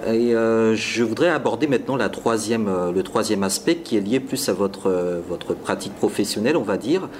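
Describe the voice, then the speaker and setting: A man with a lower voice asks long, measured questions, pausing often on hesitant fillers. A faint hum of noise runs behind him. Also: lively intonation. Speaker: interview host.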